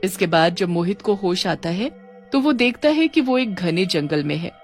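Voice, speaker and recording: A woman reading scripted narration for an animated horror bedtime story. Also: on the low side, normal speed. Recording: music under the voice.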